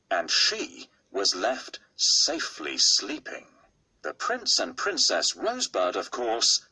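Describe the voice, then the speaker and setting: A man with a gravelly, husky voice reads a bedtime fairy tale slowly and softly. His tone is bright and sharp, and his pitch moves across a very wide range. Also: low-quality recording. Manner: slow, soft storytelling. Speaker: narrator. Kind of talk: storybook read-aloud.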